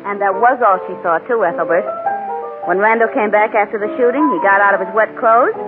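An American actress playing a brisk, sharp newspaperwoman in a 1940s radio crime drama. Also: mid-range voice, close to an everyday voice. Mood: matter-of-fact. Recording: tinny 1940s radio recording with music.

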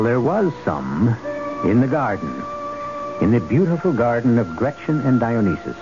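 A man hosting a radio drama with theatrical scripted narration, in measured, dramatic, philosophical phrasing. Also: mid-range voice. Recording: dull old radio broadcast recording. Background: loud music.

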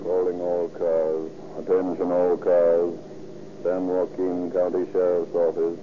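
Deep, monotone police dispatcher. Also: male voice, slow pace. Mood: flat, official. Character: flat, official police radio dispatcher. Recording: noisy, muffled old police radio broadcast.

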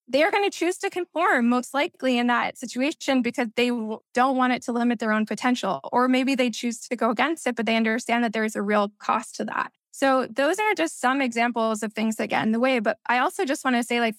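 A woman's voice: on the high side, no room sound, clean studio sound. A woman from the United States whose first language is Spanish, talking as a guest in a podcast conversation, earnest and reflective, often saying "like". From United States (Missouri).

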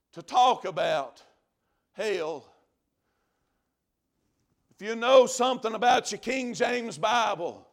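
High, gravelly preacher shouting. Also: male voice. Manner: shouting, drawn-out emphatic preaching with repeated phrases. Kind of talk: fiery revival sermon.

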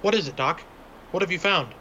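A man performs a cowardly, superstitious country fellow in an old-time radio chiller. His voice is high and sharp, with a folksy, pleading stammer. The homemade recording is muffled, with clear noise behind him. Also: lively intonation, a little fast. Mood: puzzled. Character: nervous, cowardly small-town man, superstitious sidekick. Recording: noisy, dull homemade radio drama recording.

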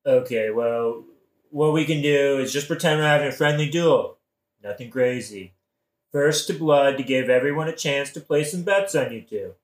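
A man's voice: mid-range voice, moderate pace, natural voice. Man playing a scheming, glib king, echoey. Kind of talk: modern-language satirical audio play.

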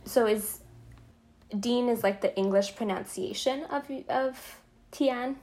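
A woman's voice: a little high, takes it slowly, darkish tone. A woman hosting a casual, chatty interview in an informal, loosely phrased way. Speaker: interviewer, host.

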